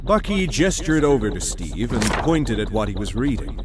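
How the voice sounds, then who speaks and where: A man narrating a scripted audio drama in a dramatic, deliberate storytelling manner. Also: mid-range voice, very animated, heavy background noise.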